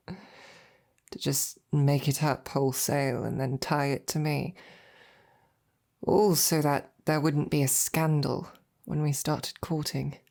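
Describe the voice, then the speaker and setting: A woman's voice: low-pitched, fairly even intonation, gravelly, heavily acted. A woman playing a devoted, tender female knight in an intimate audio roleplay, speaking to her princess in a hesitant, earnest manner. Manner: hesitant, halting, softly earnest. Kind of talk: intimate scripted roleplay monologue to the listener.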